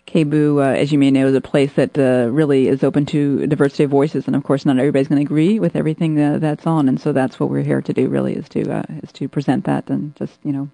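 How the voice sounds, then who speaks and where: Male talk-radio host, mellow and quick, diplomatic, halting short phrases. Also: mid-range voice, flat delivery, vocal fry, no room sound. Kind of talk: live talk-radio moderating.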